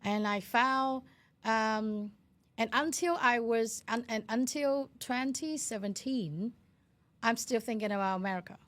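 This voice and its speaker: A woman's voice: fairly high pitch, a little slow, a bit bright. A woman in her thirties with a Vietnamese accent, telling her story earnestly as an interview guest in non-native phrasing. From Vietnam, Hanoi. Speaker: interview guest, educator and CEO.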